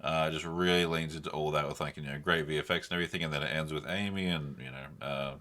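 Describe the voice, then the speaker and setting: Male podcaster, low voice with a bright edge, slangy casual delivery. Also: steady tone, fast. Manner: informal, slangy, mildly sweary. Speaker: podcast host. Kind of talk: casual chat.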